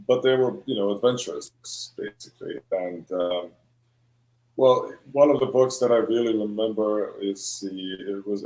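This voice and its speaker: A man's voice: low voice, dark tone, echoey room, poor audio. A man from Croatia, a children's book author, answering a panel question hesitantly, with many fillers and restarts.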